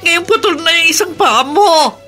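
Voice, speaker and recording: A woman's voice: very high-pitched, lively intonation, moderate pace, sharp tone, faint music behind, exaggerated voice. An actress playing a distraught wife and mother in a melodramatic Filipino radio drama, wailing and tearful, with a Filipino accent. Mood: anguished, tearful.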